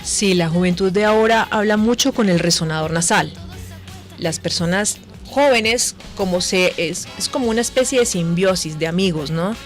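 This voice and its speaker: A woman's voice: on the low side, normal speed, faint music behind. A woman, a voice coach explaining conversationally as a radio guest, often checking with tag questions.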